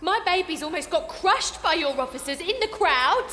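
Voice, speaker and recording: Indignant heckling mother, female, piercing forceful voice, reverberant hall, faint noise, audio drama. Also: extremely high pitch, expressive, normal speed, heavily acted. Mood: outraged. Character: outraged mother heckling at a public meeting.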